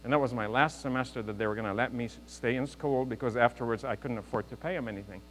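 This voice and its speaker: A man's voice: medium pitch, quick pace. A man in his fifties from Lebanon, giving an earnest, joking motivational speech to a live audience. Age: 50s. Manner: earnest, joking, speaks to the crowd. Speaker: guest speaker. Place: large hall with a live audience. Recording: reverberant hall, mains hum.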